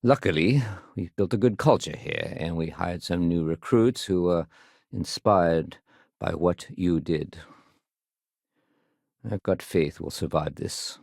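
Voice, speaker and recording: A man playing a dutiful, wry, level-headed space-colony security sergeant in a video game, with measured, conversational authority. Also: low voice, vocal fry, darkish tone, dry recording, studio quality, heavily acted. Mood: measured, matter-of-fact. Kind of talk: video game character dialogue.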